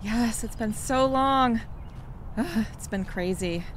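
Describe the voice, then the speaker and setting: A woman's voice: on the high side, takes it slowly, slight background noise. A woman playing a breezy young friend, slightly guarded, in an amateur audio drama. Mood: cheerful.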